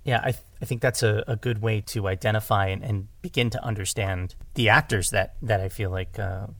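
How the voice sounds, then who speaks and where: A man's voice: on the low side, brisk, vocal fry, fairly bright tone, dry recording. A man in a casual discussion as a podcast guest, thinking aloud and often repeating his words. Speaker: podcast guest, audio drama director.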